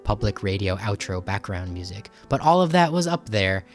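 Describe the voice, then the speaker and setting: A man hosting a podcast interview in a conversational style, halting and rambling as he thinks aloud. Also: on the low side, big pitch swings, soft background music. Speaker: podcast host and radio producer.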